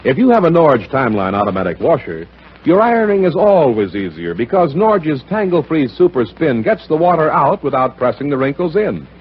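A male announcer delivers a vintage radio advertisement. His voice is husky and moves around expressively, on a dull old broadcast recording. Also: mid-range voice.

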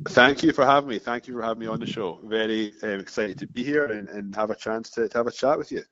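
Low-voiced Scottish man, muffled dry sound. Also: fast talker, no echo. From Scotland. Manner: restarts phrases often, steady explaining flow. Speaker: founder of a marketing agency, guest. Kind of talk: casual interview talk. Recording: dull muffled dry recording.

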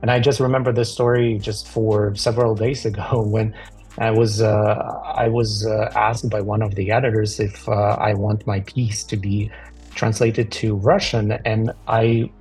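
Man, from Ukraine, husky, lowish pitch, earnest, hesitant storytelling, podcast. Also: flat delivery, a little slow, darkish tone, soft background music. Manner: earnest, telling stories with some hesitation. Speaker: podcast co-host. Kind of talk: conversational podcast talk.

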